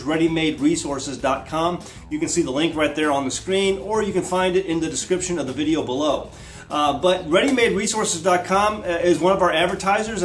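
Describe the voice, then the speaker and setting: An American man in his thirties hosting a homesteading vlog, casually explaining a product to viewers. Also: on the high side. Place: living room with wood floor and large windows. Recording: roomy indoor echo.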